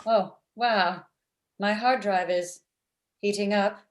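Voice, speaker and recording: A woman's voice: mid-range voice, steady tone, slow, natural voice. A woman playing a blunt, lovestruck robot matchmaker with stilted, literal speech in a comedy table read over video call. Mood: flustered. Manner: stilted, uncontracted, machine-like phrasing.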